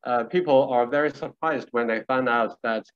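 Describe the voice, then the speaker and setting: A man's voice: mid-range voice, lo-fi sound. Masked man on a video call. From Shanxi, China. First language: a Chinese dialect of Shanxi. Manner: relaxed, many filler pauses, gently joking. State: speaking through a face mask. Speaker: guest speaker. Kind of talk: casual talk on a video call. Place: small room indoors. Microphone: video call with earphone mic.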